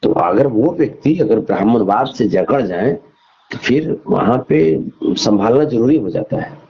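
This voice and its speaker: A man speaks over a dull, lo-fi phone recording. His voice is husky and sits at an ordinary male pitch. He makes his points emphatically as an interview guest, repeating phrases and asking rhetorical questions. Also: animated, unhurried. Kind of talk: phone interview, opinionated conversational talk. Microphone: phone call.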